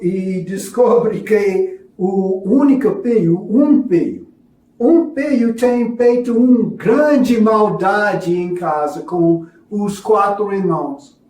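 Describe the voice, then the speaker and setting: A man with a non-native, foreign accent teaches a religious lesson and tells a story, speaking haltingly and apologetically. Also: high-pitched, mellow. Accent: foreign, non-native Portuguese. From foreign to Brazil, a self-described gringo. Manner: halting, hesitant in Portuguese, apologetic. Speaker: religious teacher presenting a course. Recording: echoey room, distant from mic.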